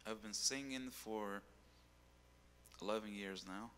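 Young man, singer as guest, bright tone, rambling delivery, handheld mic in a reverberant hall. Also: fairly low pitch, slow pace, slight background noise. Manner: rambling, repeats words while searching. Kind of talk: casual interview on stage. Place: dark stage with keyboard.

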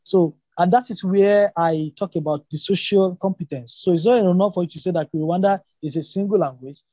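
A man's voice: high voice, a little fast, mellow, no room sound. A man whose first language is Yoruba, explaining emphatically in a spontaneous academic discussion, often repeating and rephrasing himself and posing rhetorical questions.

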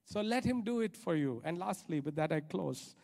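A middle-aged male preacher delivers a sermon in a high-pitched voice. He is emphatic, repeating key phrases and checking in with short rhetorical questions. He speaks into a handheld microphone on an auditorium stage. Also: expressive, normal speed, creaky, darkish tone. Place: large church auditorium stage, indoors.

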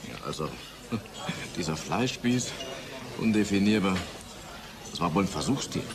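Low-voiced gossipy colleague, noisy background. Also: male voice, animated, unhurried. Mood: teasing. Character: joking, gossipy male office colleague at lunch. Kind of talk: German radio drama acting.

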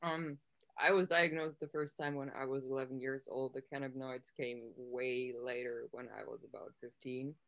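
A woman's voice: very deep voice, flat delivery, normal speed, fairly bright tone. A woman in her twenties, from the United States, speaking plainly as a panelist on a video call. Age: 20s.

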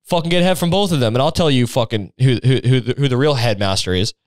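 A man's voice: medium pitch, fairly quick, darkish tone, dry recording, lightly acted. A man playing a brash, crude young male student who swaggers, swears and badgers in a comedy bit. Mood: crude, demanding.

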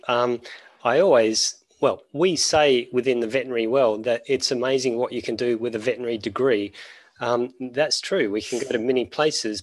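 A man's voice: mid-range voice, fairly bright tone, no room sound. Headset-miked man lecturing over video call. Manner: conversational, many fillers and restarts. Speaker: keynote speaker, veterinary professor. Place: small office at home.

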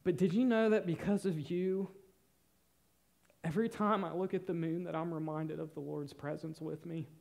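A young man preaching a sermon on a church stage, earnest, shifting between loud emphasis and soft, near-whispered moments. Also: on the high side, steady tone, moderate pace, gravelly. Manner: paces the stage gesturing with both hands. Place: church stage in a large hall.

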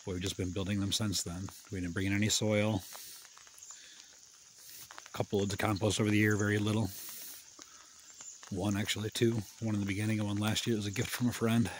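A man in his fifties with a low voice ambles through a wooded hillside garden and talks in a relaxed, plain-spoken way. He holds a phone out at arm's length as he walks. A faint outdoor hiss sits under his voice. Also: fairly quick, long pauses, low-quality recording. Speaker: permaculture farmer. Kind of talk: casual walking garden tour. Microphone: phone held at arm's length.

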